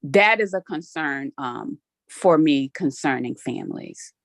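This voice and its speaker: A woman's voice: deep voice, expressive, unhurried, no room sound, studio quality. A native Spanish-speaking woman giving informal, emphatic remarks as a board member at a meeting, thinking aloud as she goes.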